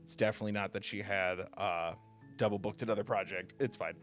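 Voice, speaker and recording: A man hosting a video game livestream, chatting casually and haltingly with viewers in a self-deprecating, joking way. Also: fairly low pitch, steady tone. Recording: music behind the voice. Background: music.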